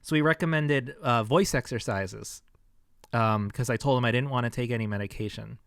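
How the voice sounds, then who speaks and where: A man with a fatigued, scratchy throat talks in a hesitant, rambling vlog manner, full of restarts. The sound is close and dry with no room echo. His pitch sits in the middle range. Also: normal speed, fairly bright tone, clear, resonant tone. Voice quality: scratchy, tired voice. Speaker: vlogger sharing health experience. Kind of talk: casual personal vlog. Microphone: close microphone.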